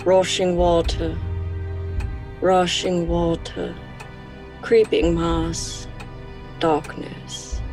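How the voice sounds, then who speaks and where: An actress playing an entranced young woman under a vampire's spell in a radio horror drama, speaking in a slow, dreamy trance. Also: fairly low pitch, level tone. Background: soft music.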